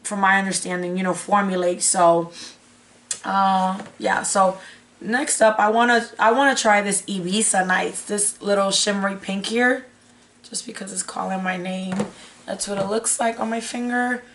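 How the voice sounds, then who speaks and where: A young woman with a raspy, hoarse voice that is giving out, chatting casually as a beauty vlogger and thinking aloud as she goes. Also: mid-range voice, fairly even intonation, moderate pace, a bit bright, slight background noise. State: hoarse, losing her voice. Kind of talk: casual unboxing chat. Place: small indoor room with curtain backdrop.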